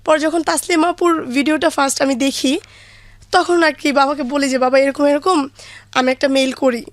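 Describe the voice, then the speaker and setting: High-pitched young Bangladeshi woman. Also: fairly even intonation, moderate pace, dry recording. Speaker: guest, daughter of the main guest. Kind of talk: informal explaining in an interview.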